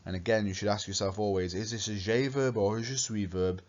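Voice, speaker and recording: A man from England teaching a lesson over slides, patient and methodical, often repeating his guiding questions. His voice sits very low. The recording sounds dull and lo-fi. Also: fairly even intonation, a little fast, a little mellow. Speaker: French teacher.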